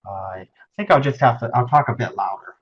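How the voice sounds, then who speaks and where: A soft-spoken young man teaching a webinar tutorial, halting with frequent fillers as he walks through the steps, recorded through a webcam microphone. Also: medium pitch, slightly rough. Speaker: teaching assistant. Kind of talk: webinar screen-share tutorial. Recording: muffled webcam audio with faint hiss.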